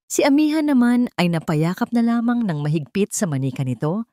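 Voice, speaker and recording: A woman storyteller reading scripted dramatic fiction in a solemn, suspenseful way with deliberate phrasing. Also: fairly low pitch, darkish tone, no echo, studio quality.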